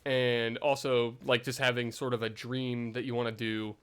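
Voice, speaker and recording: A man's voice: medium pitch, flat delivery, normal speed, fairly bright tone, clean tone. A young man vlogging in a casual, rambling way, full of fillers and self-deprecating asides.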